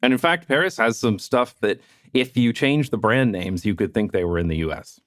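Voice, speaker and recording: A man's voice: lowish voice, moderate pace, a bit bright, no room sound, studio quality. A man from Canada, hosting a podcast in an easygoing, casual way. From southwestern Ontario, Canada. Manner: easygoing, tag questions like "right?". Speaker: podcast host.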